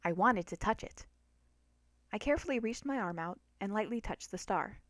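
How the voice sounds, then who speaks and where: Woman narrator, mid-pitched, expressive dramatic storytelling, first-person fiction reading, very dry close sound. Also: normal speed, no echo.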